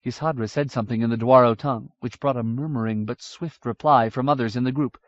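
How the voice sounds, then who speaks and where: A man reading a fantasy novel aloud in a measured, even storytelling manner. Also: mid-range voice, no echo. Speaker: audiobook narrator. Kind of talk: scripted fantasy narration. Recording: dull dry audiobook recording.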